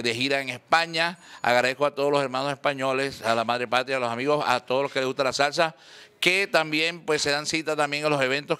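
A man's voice: mid-range voice, sharp tone, smooth. A man in his forties, a salsa singer at an indoor press conference, talking effusively and telling jokes with frequent laughter. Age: 40s. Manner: boisterous, tells jokes, laughs often. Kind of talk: joking, effusive press talk. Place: indoor restaurant, seated at a table. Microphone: table microphones, later handheld mic.

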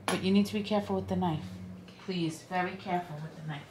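A woman's voice: on the low side, steady tone, fast talker. A middle-aged woman chatting casually as a helper in a home kitchen, some way from the camera. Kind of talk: casual chat. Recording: echoey kitchen, loud background noise.

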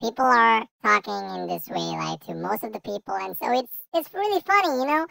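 A man voicing a baby through a pitch-raising voice-changer effect. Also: lively intonation, fairly quick, creaky, bright, forceful tone, no room sound, exaggerated voice. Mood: amused demo. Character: baby, small child with high voice.

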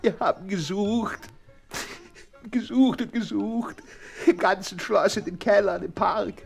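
An actor in a German radio drama playing an eccentric, excitable, whiny gentleman who repeats himself and dwells theatrically on his words. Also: high voice, unhurried, vocal fry, husky, soft background music, full character voice. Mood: excited. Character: eccentric, excitable, whiny gentleman torn between awe and resentment.

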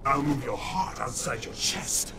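An actor playing a vengeful, defiant undead ninja in a video game, with a gravelly, menacing growl and terse, formal threats. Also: male voice, medium pitch, animated, unhurried, music in the background, natural voice.

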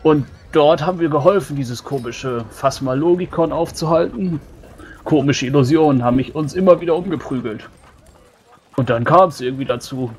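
A man's voice: on the high side, creaky. Man playing a grumbling dwarf warrior, faint noise. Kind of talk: improvised tabletop roleplay.

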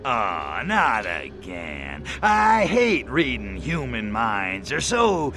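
A man's voice: lowish voice, huge pitch swings, drawn-out words, background noise, full character voice. A man voicing a cocky, wisecracking alien invader in a video game, sarcastic and slangy. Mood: sardonic. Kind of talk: video game cutscene acting. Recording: music behind the voice.

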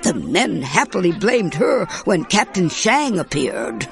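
A woman playing a fond grandmother, narrating a storybook in a measured, expressive way. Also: on the low side, gravelly, faint music behind, exaggerated voice. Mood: fond, dramatic.

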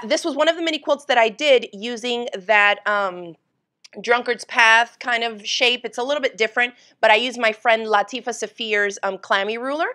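Bright-voiced woman hosting a quilting livestream. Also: a little high. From Florida, USA. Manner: chatty and upbeat, greets viewers by name. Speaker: quilting teacher and livestream host. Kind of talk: casual livestream tutorial. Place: home sewing studio, indoors.

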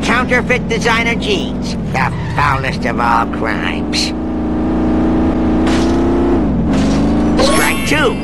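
An actor playing a dim, lazy middle-aged police chief in a cartoon game, nasal, blustery and whiny. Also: male voice, extremely high pitch, heavy background noise, exaggerated voice. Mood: pompous. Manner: blustery, whiny, self-important.

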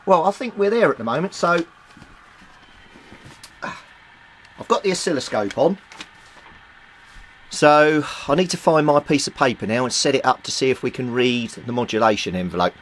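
A man's voice: mid-range voice, expressive, moderate pace, pauses often, a little hiss or hum. A man with a British accent presenting an informal hands-on demonstration in a plain-spoken, explanatory way. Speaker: hobbyist video presenter. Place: small indoor hobby workshop.

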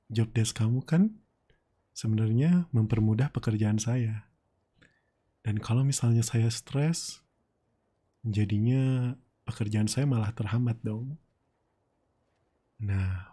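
Low, breathy Indonesian boss played by a man. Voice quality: soft, breathy. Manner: slow, coaxing, murmured. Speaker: ASMR roleplay streamer. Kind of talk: intimate boyfriend roleplay ASMR. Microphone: close ASMR microphone.

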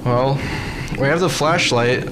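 An actor playing a dutiful, cautious young son and older brother in a radio drama. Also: male voice, mid-range voice, unhurried, close to an everyday voice, poor audio. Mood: frustrated, reassuring.

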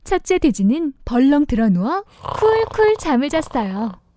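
Female children's storyteller: high voice, lively intonation, expressive, simple narration for little ones. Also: measured pace, a little hiss or hum.

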